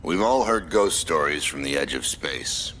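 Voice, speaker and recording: An actor playing a stern military commander in a video game, briefing troops in a clipped, formal, authoritative manner. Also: male voice, very deep voice, lively intonation, unhurried, a bit bright, a little hiss or hum, exaggerated voice. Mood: stern, grave.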